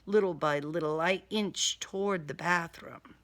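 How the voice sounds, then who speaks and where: A woman's voice: fairly low pitch, a little slow, fairly bright tone. A woman reading a first-person crime thriller audiobook in a dramatic, wry manner. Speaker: author reading own novel excerpt.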